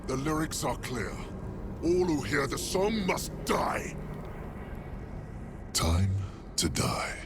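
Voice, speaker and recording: Game devil, male: menacing and bitter, drawn-out theatrical delivery with big swings from brooding to snarling, music underneath. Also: medium pitch, noisy background. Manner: theatrical, snarling, savoring words, sudden outbursts. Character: menacing male devil bound by a cruel contract, proud and bitter. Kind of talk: video game character acting. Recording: music behind the voice.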